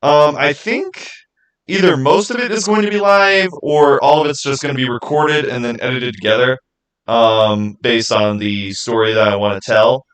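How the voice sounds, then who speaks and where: A man hosts a casual livestream in a mid-pitched voice. He talks informally and thinks aloud, rambling through his answers to viewers like a game master chatting with his players. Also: moderate pace, fairly bright tone, rough recording.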